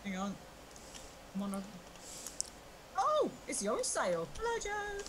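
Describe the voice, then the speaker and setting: A woman sailing along in a game session, excitable and quick to exclaim. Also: very high voice, noisy background. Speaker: club sailor playing along. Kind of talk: casual chat while gaming.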